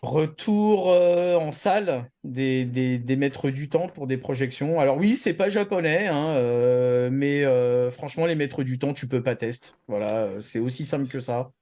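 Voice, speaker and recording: A man co-hosting a show, chatting casually and giving opinions in slangy, halting speech. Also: medium pitch, mellow. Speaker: co-host of an anime news show. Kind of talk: casual opinionated news chat.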